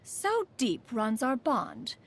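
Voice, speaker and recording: A woman's voice: on the high side, sing-song, slow pace, exaggerated voice. A woman playing a proud, aloof immortal adept who secretly cares, speaking in formal, archaic phrases as a video game character. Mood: proud, reflective. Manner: haughty, archaic formal speech, refers to self as "one".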